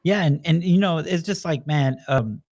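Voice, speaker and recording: A man's voice: fairly high pitch, moderate pace, a little mellow. A man raised in Texas, hosting a podcast and asking loose, rambling questions full of "you know.". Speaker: podcast host. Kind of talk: casual podcast interview.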